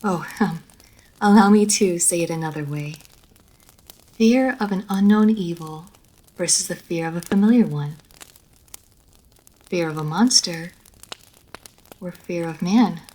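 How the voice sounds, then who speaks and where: An immortal lady vampire played by a woman presides over her manor in a slightly low voice. She speaks to the listener with measured, old-fashioned formality. Her poise has a teasing edge, in the style of a roleplay audio story. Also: mellow, low-quality recording. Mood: grave, protective.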